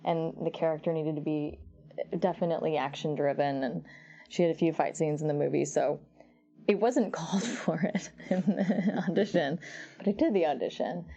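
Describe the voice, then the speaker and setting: Deep-voiced young woman, gravelly and laughing. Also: normal speed, highs cut off. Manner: laughing, animated storytelling with many restarts. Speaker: actress guest. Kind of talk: casual interview chat.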